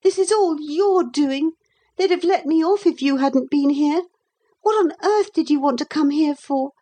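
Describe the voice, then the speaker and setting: A woman's voice: high voice, measured pace, mellow, voice pushed up. A woman voicing a petulant, bitter young boy in a storybook reading. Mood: bitter, accusing. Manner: petulant, accusing. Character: peevish, frightened young boy, bitter and blunt.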